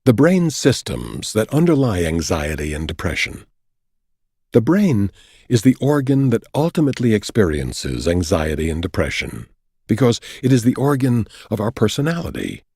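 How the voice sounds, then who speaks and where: Male narrator, scripted nonfiction audiobook, husky, measured explanatory delivery, very dry close studio sound. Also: lowish voice, wildly swooping pitch, darkish tone, no room sound.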